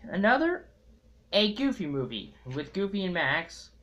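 A young man talking casually to viewers in a halting, hesitant way, recorded at home on a tablet's built-in mic. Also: high-pitched, sing-song, pushed and bright, highs cut off. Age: young adult. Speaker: amateur fandub video maker. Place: small room at home. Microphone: tablet built-in mic at arm's length.

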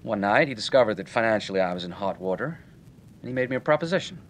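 A man's voice: fairly low pitch, expressive, fast, a little hiss or hum, close to an everyday voice. A man playing a breezy, slangy nightclub owner in an old-time radio detective drama, with a wry, colloquial way of talking. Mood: frank.

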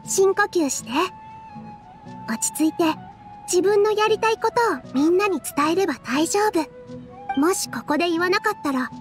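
Game role: gentle, earnest young dancer girl, high soft voice, patient delivery over faint music. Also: expressive, unhurried. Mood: encouraging.

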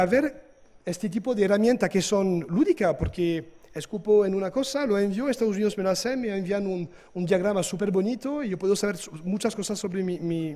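A man, giving a conference talk in a hall with halting hesitations and restarts. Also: high voice, dark tone. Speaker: conference speaker. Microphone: lectern microphone.

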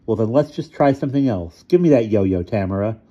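A man voicing a bossy, hot-tempered boy show-off in an audiobook, loud and blustering. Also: lowish voice, animated, a little fast, dull audio, pitched above the performer's own voice. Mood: angry. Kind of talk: audiobook character voice.

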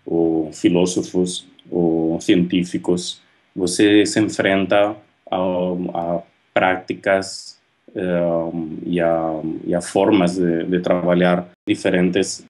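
A man in his thirties from Spain with a Spanish accent, talking thoughtfully to a webcam about his art in a halting way, with many fillers and restarts. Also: sits low, level tone, darkish tone. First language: Spanish or Catalan. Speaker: artist. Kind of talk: reflective monologue to camera. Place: indoor room at home. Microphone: webcam at close range.

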